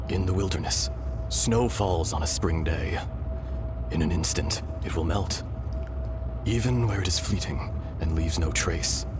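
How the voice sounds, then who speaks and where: An actor playing the calm, sorrowful, benevolent remnant of an ancient dragon in a video game, speaking slowly and solemnly. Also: male voice, deep voice. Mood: weary, resigned. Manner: slow, solemn, measured. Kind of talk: dramatic video game acting. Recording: music under the voice.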